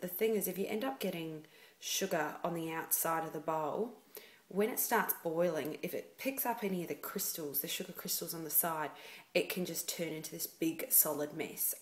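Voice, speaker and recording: A woman, speaking quietly and chattily as she explains a baking recipe at home. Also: lowish voice, echoey room. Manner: quiet, chatty and self-deprecating. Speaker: home baker. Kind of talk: baking tutorial. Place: home kitchen.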